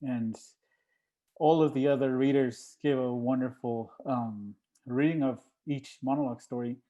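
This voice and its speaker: A man giving heartfelt, informal remarks to a group in a halting, reflective way. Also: mid-range voice, steady tone, mellow, lo-fi sound. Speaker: monologue writer and panel participant.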